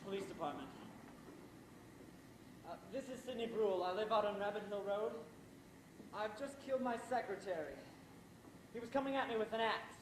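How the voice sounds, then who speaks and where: A man acts out a middle-aged playwright with murder in mind on a theater stage. He is dryly sardonic and politely hesitant, with theatrical delivery. The recording is echoey, with clear background noise. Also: high-pitched, moderate pace, pauses often, natural voice. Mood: feigned calm. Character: scheming middle-aged male playwright, suave, wry and murderous. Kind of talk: theatrical stage thriller acting. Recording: echoey, very noisy amateur stage play recording.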